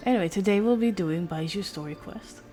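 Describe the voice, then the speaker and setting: A woman's voice: mid-range voice, a little fast, mellow. A woman game streamer chatting casually to her viewers during a livestream. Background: music.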